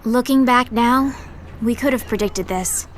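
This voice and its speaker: A woman's voice: on the high side, unhurried, slight background noise, natural voice. A woman playing a blunt, impatient, cynical young woman in a fantasy game drama. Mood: grave narration. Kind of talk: dramatic voice acting.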